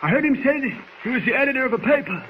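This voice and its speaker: A man's voice: extremely high pitch, animated, rapid-fire. A man playing an earnest, grateful rescued fellow in a 1940s radio serial. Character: grateful rescued male bystander, talking to his rescuer. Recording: very muffled, noisy old radio recording.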